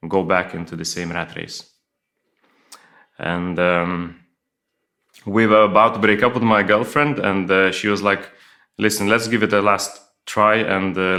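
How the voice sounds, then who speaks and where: A middle-aged man from Lithuania, telling his life story as an interview guest with many pauses, fillers and restarts. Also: sits low, pushed and bright. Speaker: guest sharing testimony. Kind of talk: personal testimony in an interview.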